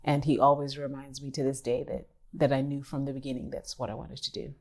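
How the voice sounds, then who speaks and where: A woman from Kenya in her fifties, an artist who speaks thoughtfully in an interview, pausing and rephrasing as she goes. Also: a bass voice, little pitch movement, darkish tone. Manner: thoughtful, measured, with pauses and restarts. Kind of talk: reflective interview talk.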